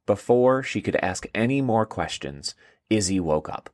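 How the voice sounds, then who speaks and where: A man's voice: lowish voice, animated, measured pace, darkish tone, dry recording, studio quality. A man narrating a scripted children's story in storybook style.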